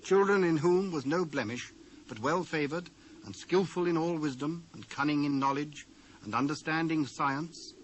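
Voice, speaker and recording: A British man giving a formal, measured scripted narration of scripture. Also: a little high, fairly bright tone. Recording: noisy old cassette transfer.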